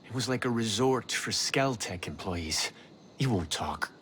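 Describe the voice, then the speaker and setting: Game cutscene role: an anxious male informant, low voice, nervous urgency. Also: expressive, unhurried, fairly bright tone, a little hiss or hum. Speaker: released prisoner. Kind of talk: video game cutscene acting.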